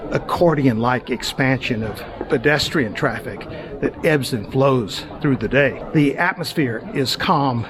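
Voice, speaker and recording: A middle-aged man hosting a travel show, narrating in a relaxed, conversational way with small hesitations as he walks around outdoors. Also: medium pitch. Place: outdoors in a busy tourist town. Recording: crowd chatter behind the voice. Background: crowd of tourists.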